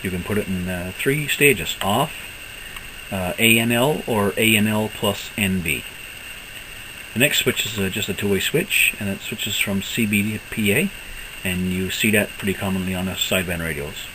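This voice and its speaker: Low-pitched man in a noisy recording. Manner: halting, thinking aloud. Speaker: hobbyist presenting radio equipment. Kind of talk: casual unscripted product walkthrough.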